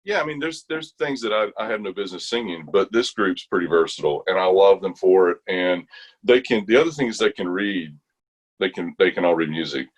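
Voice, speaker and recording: A man's voice: sits low, lively intonation, fairly quick, rough recording. An American man chatting casually as an interview guest, thinking aloud in loose, broken phrases. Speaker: interview guest, bass gospel quartet singer.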